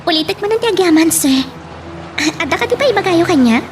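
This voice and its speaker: A woman's voice: very high-pitched, expressive, fast. A woman playing a polite, grateful young office employee in an Ilocano audio drama, deferential and a little hesitant. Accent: Ilocano Filipino. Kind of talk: amateur audio drama dialogue. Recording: faint background noise.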